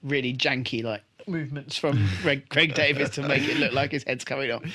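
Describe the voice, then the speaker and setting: A man's voice: medium pitch, a little fast, a crowd in the background. A man co-hosting a podcast in casual chat about a TV episode, agreeably chiming in. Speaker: podcast co-host.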